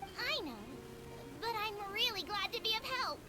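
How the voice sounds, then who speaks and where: Tiny earnest female creature; very high, singsong, eager. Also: expressive, normal speed. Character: small gentle female Melusine creature. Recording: loud game music behind.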